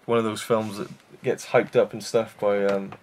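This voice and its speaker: A man's voice: lowish voice, little pitch movement, moderate pace, darkish tone, a little hiss or hum. A man hosting a casual film review show, rambling with enthusiasm and many fillers and restarts.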